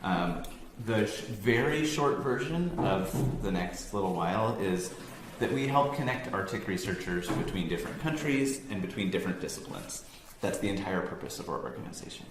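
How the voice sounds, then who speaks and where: Deep-voiced American man giving a talk. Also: moderate pace, few pauses. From United States, near Boston. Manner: relaxed, conversational, many ums and self-corrections. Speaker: science organization staff giving a talk. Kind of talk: informal presentation to an audience. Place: room with an audience. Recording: echoey room, faint background hum.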